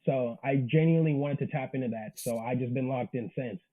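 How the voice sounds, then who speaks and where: A man's voice: mid-range voice, a little fast, narrow, tinny audio. A man in his twenties chatting as an interview guest, earnest and slangy. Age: 20s. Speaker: interview guest, manga creator. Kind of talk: casual interview chat.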